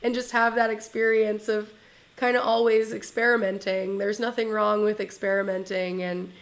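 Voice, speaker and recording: A woman's voice: mid-range voice, flat delivery, normal speed. A young woman hosting a casual interview, effusive and enthusiastic, laughing often. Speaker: host.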